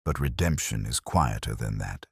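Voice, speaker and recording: A man voicing a cerebral, grave detective in a mystery audiobook, speaking in deliberate, solemn aphorisms. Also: very deep voice, lively intonation, breathy edge, dry recording, studio quality, pitched below the performer's own voice, full character voice. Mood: philosophical. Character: cerebral, grave male detective, quietly philosophical.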